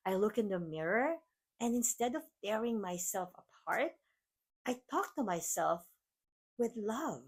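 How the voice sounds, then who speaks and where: A woman's voice: fairly low pitch, fairly bright tone, husky. A middle-aged woman from the Philippines with a Filipino accent, hosting a podcast and speaking earnestly about self-love, with frequent "like" and restarts. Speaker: podcast host. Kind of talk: personal motivational talk.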